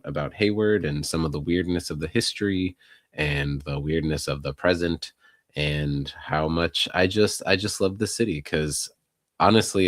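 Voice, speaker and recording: Man, hoarse, husky, very deep voice, laid-back, self-deprecating, dry close mic. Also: no echo. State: hoarse, recovering from illness. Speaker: podcast co-host, local news writer. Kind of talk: casual podcast chat.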